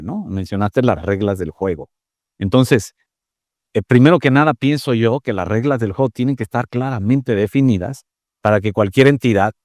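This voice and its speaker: A man, a guest explaining telecom topics in a measured way, with many fillers and tag questions. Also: medium pitch, a little mellow, dry recording, studio quality. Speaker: interview guest, telecom expert. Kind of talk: conversational interview.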